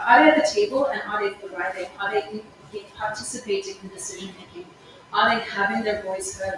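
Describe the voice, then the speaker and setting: A woman's voice: medium pitch, fairly bright tone. A woman speaking on a panel discussion in an earnest, reflective way, asking many rhetorical questions. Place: large conference room at an event. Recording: echoey room, distant mic, background noise.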